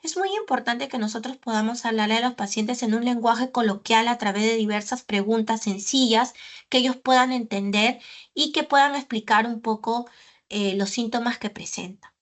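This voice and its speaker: A woman pulmonologist giving a medical lecture, explaining carefully and often pausing on filler sounds and tag questions. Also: fairly high pitch. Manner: didactic, with frequent "¿no?" tags and "eh" fillers.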